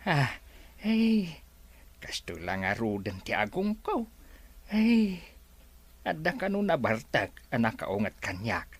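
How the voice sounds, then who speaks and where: A man's voice: medium pitch, sing-song, slow, long pauses. An actor playing a timid male employee who hesitates and stammers before his boss. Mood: nervous. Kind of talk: radio drama acting.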